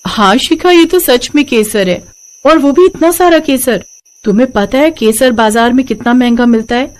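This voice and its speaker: A woman voicing a kind, self-sacrificing young married sister-in-law in an animated moral story, with a caring, expressive manner. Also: sits high, normal speed, close to an everyday voice. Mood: excited.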